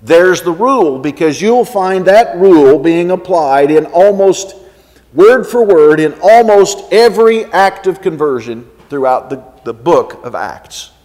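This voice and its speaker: A man preaching an expository sermon, reading scripture and teaching it in an emphatic, deliberate way with rhetorical questions. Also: high voice, expressive, darkish tone. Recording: long echoing reverb of a hall.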